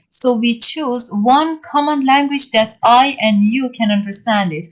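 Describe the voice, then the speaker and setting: Woman lecturing with frequent pauses. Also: a little high, poor audio. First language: Persian. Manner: halting, many fillers, explains step by step. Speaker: university lecturer. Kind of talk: online lecture.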